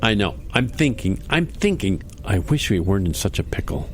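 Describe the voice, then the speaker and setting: A man playing a goofy, absent-minded dad in a comedy radio play, earnest and animated. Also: fairly low pitch, moderate pace, slight background noise. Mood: flustered.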